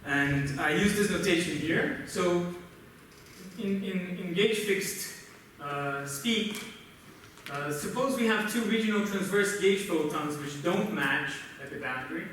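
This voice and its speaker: A man with a Brazilian accent, a native Portuguese speaker, gives a technical conference lecture in a halting, explanatory way. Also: high-pitched, a bit bright. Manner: halting, explanatory, often checks with "right?". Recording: roomy, noisy conference hall, distant mic.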